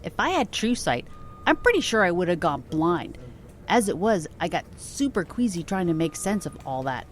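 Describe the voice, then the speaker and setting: A woman playing a wry, grudgingly warm friend, speaking in a dry, measured, reassuring way in an audio drama. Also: on the low side, very animated, a little hiss or hum. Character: wry, steadying female friend with grudging warmth.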